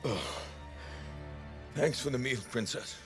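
A man's voice: medium pitch, slow pace, soft background music, lightly acted. A man voicing an evasive veteran mercenary in a video game, hesitant and awkwardly polite. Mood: queasy, reluctant.

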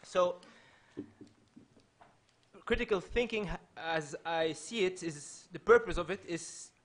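Man, 30s, from Belgium, Dutch native, fairly high voice, husky, earnest measured lecture, table mic. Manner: earnest, measured, with repeated restarts. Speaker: panelist speaker. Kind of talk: public lecture. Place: lecture hall with audience. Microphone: table microphone.

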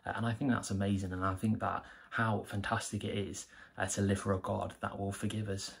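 Earnest young man, husky and gravelly. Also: lowish voice, level tone, brisk. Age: young adult. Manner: earnest and enthusiastic. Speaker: church member giving a devotional. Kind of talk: informal devotional talk to camera. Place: small plain indoor room.

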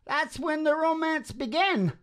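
A man's voice: very high-pitched, unhurried, a bit bright. An older man, a musician hosting a show, rambling casually between songs in an irreverent, folksy manner. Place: small indoor room with wood-panelled wall. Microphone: microphone on a stand. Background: acoustic guitar.

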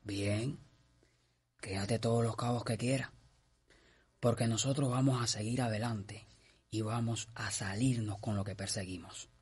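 A man's voice: on the low side, steady tone, measured pace, pauses often, a little mellow, breathy edge. An actor playing a middle-aged, scheming and self-assured father in a radio drama, with a Cuban accent and a commanding manner. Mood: smug, confident. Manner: confident, commanding.

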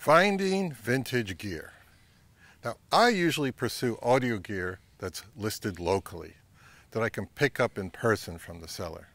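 A middle-aged man giving a measured, instructional talk to camera as a vlog host, recorded outdoors. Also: lowish voice, animated, fairly bright tone, dry recording. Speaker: vintage audio channel host. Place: outdoors by a pond and on a wooded trail.

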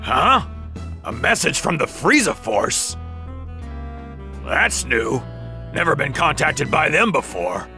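An actor playing a gruff, brutish alien soldier in a video game, with a gravelly voice. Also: male voice, a little high, big pitch swings, takes it slowly, long pauses, sharp tone, soft background music, heavily acted. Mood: weary, puzzled. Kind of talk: animated video game voice acting.

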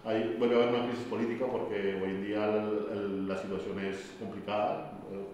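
A man's voice: on the low side, little pitch movement, fairly quick, darkish tone, a little hiss or hum. A man in his forties with a Colombian accent from Bogotá, answering interview questions hesitantly and repeating words as he searches for them. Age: 40s. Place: bright empty classroom, indoors. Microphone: far camera mic. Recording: echoey room from far off.

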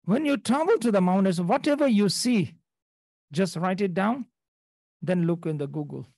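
Male dharma teacher from Nepal, unhurried, repeats himself, dry sound. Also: sits high, expressive, creaky, a little mellow, no room sound. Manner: halting, repeats phrases, checks in with "okay?". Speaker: Buddhist teacher. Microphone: video call.

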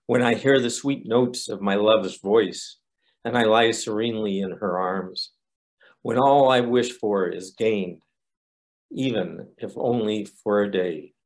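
Older man, lowish voice, slow reading. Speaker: poet reading own poem. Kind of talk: poetry recitation. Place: small room indoors. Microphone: video call.

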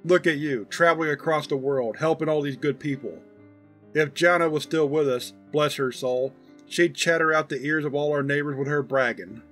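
A man's voice: a little high, moderate pace, fairly bright tone, faint music behind. A man voicing an affectionate, proud, teasing old grandfather in a fond, folksy, chatty manner for a story reading.

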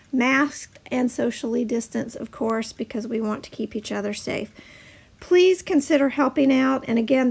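Higher-voiced woman with a strongly creaky edge. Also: darkish tone. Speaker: church member making a community announcement. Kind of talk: casual spoken appeal.

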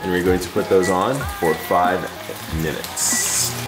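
A young man talks straight to his viewers in an upbeat, chatty way while cooking at home. His voice bounces around a roomy kitchen, and clear background music runs beneath it. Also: low voice, steady tone, measured pace. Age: young adult. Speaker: fitness vlogger, host. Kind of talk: casual cooking demo to camera.

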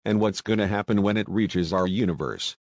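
A man's voice: on the low side, a little fast, no echo. A man playing a worried colleague facing cosmic doom, in stilted amateur disaster-drama dialogue.

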